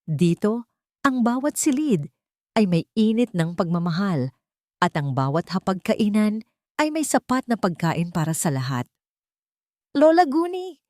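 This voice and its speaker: A woman's voice: fairly low pitch, darkish tone, dry recording, studio quality. A woman reading a scripted dramatic story as narrator, in a solemn, sentimental manner.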